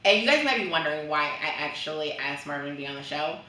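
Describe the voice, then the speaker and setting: Chatty woman host, low and bright. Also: expressive, fast talker. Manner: upbeat, chatty, interjects short affirmations. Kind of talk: casual interview. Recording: echoey room from a distance.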